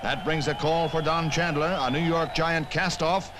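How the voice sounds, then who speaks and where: A man's voice: on the high side, little pitch movement, moderate pace, noisy background. An American man reading scripted 1960s newsreel narration in a punchy, wry manner, on an old film soundtrack. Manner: punchy, wry, punning delivery. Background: music.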